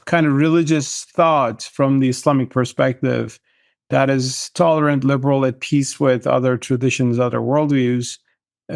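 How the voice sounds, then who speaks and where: A middle-aged man from Turkey, a guest author, answering interview questions in a measured, thoughtful way. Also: mid-range voice, creaky, no room sound, studio quality.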